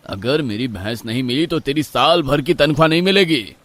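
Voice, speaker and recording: A domineering, wealthy village master, played by a man in a horror story. He talks in a medium-pitched voice with a stern, scolding manner, always suspicious of his servant. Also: normal speed, a bit bright, no echo, close to an everyday voice. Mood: stern, annoyed. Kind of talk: Hindi horror story character dialogue.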